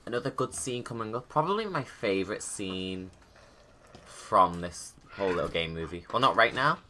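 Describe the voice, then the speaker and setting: A man chats informally as the creator of a game movie. His voice is fairly low yet bright, and he rambles and breaks off mid-thought, with faint noise behind him. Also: animated, normal speed. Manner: informal, rambling, self-interrupting. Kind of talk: casual creator commentary.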